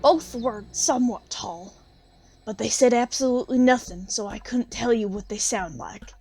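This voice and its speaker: A woman's voice: fairly high pitch, normal speed, darkish tone, husky. Woman doing a poor village widow for an audio drama, jaded, blunt, salty way of talking. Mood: grudging. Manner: plain-spoken, colloquial, bitter.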